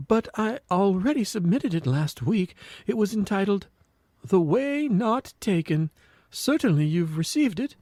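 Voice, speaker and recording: A man's voice: high-pitched, lively intonation, unhurried, darkish tone, dry recording, clean studio sound. A man voicing a timid, woeful old frog poet in a comic radio drama, theatrical and easily flustered into stammering.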